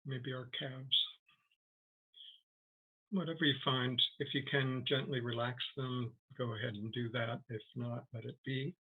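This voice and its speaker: A man leading a guided meditation as a teacher, speaking gently and haltingly with long, unhurried pauses. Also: mid-range voice, flat delivery, breathy edge, phone-quality sound.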